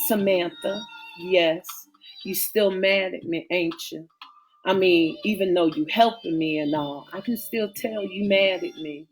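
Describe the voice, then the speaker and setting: Woman doing a lower-voiced pleading young man. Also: a little slow, soft background music, close to an everyday voice. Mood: sheepish.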